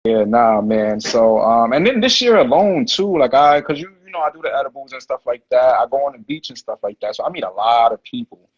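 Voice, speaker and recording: Host, male, husky and a bit high-pitched, relaxed slangy storyteller, dull lo-fi audio. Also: fairly quick. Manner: laid-back, slangy, heavy on "like" and "you know". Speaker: podcast host. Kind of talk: casual livestream interview storytelling.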